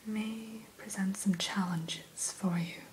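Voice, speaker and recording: Slow whispering woman, ASMR roleplay. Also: medium pitch, rough recording. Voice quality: breathy whisper. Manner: slow, gentle, courteous shopkeeper patter. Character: gentle, courteous female keeper of a dragon hatchery. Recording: steady hiss behind close whisper.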